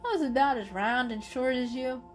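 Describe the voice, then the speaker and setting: A woman's voice: high-pitched, moderate pace, soft background music, voice pushed up, natural voice. A woman voicing a friendly, reassuring grown male cat who chats and explains kindly in a storybook reading. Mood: fond, assured.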